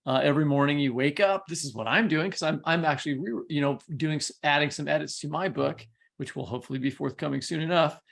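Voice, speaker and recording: Creaky, higher-pitched man co-hosting a podcast. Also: quick pace, a bit bright. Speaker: podcast co-host and interviewer. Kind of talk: casual podcast conversation between colleagues.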